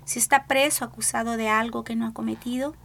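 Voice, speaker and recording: Earnest Salvadoran mother, higher voice. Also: fairly even intonation, a little fast, creaky, fairly bright tone. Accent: Salvadoran Spanish. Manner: earnest, pleading, repeats "¿verdad?". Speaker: mother of a missing migrant, activist. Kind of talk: radio panel testimony.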